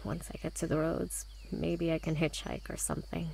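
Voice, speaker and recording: A woman playing a guarded, cynical teenage girl in a visual novel, with a quiet, dry, understated delivery. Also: low-pitched, moderate pace, vocal fry, a little hiss or hum, poor audio. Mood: aloof. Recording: loud music behind the voice.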